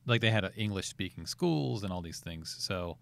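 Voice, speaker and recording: Relaxed, lowish-voiced man. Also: normal speed, creaky. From United States. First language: Farsi. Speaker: guest comedian. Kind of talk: casual chat.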